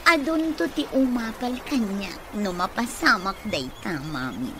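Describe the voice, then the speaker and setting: A woman's voice: fairly high pitch, lively intonation, takes it slowly, noisy background. A woman acting a vain young office worker in an Ilocano radio comedy drama, haughty and whiny. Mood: dreamy, whiny.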